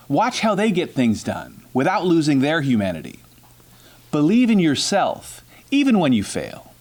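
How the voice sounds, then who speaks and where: A man serving as superintendent, giving graduates advice and closing wishes. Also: a little high. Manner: advising, warm closing wishes. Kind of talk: graduation address.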